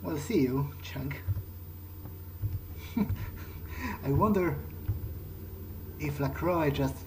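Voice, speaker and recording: A man's voice: a little high, very slow, noisy background. A man doing in-character commentary over a game playthrough, speaking in a hesitant, musing way. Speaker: gaming video maker. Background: video game ambience.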